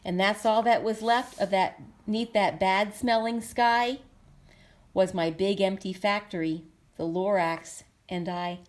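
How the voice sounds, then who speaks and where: A woman's voice: medium pitch, fairly even intonation, unhurried, lightly acted. A woman playing a stubborn male factory owner from a storybook, telling his tale in emphatic, rhythmic rhyme. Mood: bleak.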